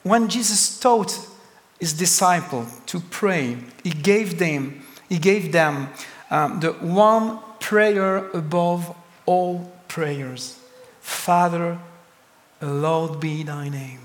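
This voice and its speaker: A man with a French accent preaching a sermon as a pastor, speaking slowly and deliberately with emphatic pauses. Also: on the high side, long reverb tail.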